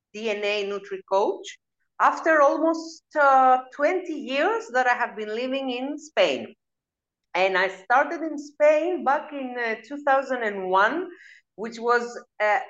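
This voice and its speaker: A woman's voice: a little high, a bit bright, muffled recording. A Greek woman in her forties, a nutritionist, answering interview questions in English with a Greek accent and frequent hesitant fillers. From Greece. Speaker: guest, nutritionist. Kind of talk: casual interview talk.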